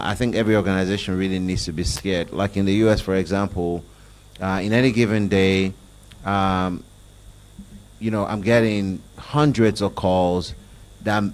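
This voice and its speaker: A middle-aged man with a Nigerian accent speaking as a panelist at a conference, measured, repeating words as he builds a point, into a handheld mic. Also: lowish voice, rough recording. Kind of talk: panel discussion answer. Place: conference hall on stage.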